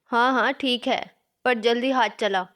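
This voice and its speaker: An older mother-in-law in a cartoon family tale, acted by a woman. She speaks slowly in a fairly high voice, reasoning in a gentle, motherly way. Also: fairly even intonation. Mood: brisk, instructing. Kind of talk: Hindi cartoon story voice acting.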